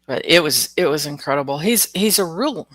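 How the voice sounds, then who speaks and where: A woman's voice: sits low, lively intonation, moderate pace, dry recording. A woman hosting a live stream, chatting casually with viewers and greeting them by name. She sounds stuffed up and nasal from the flu. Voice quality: nasal, congested. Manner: chatty, greets commenters by name, laughs often. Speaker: live stream host, oracle card reader.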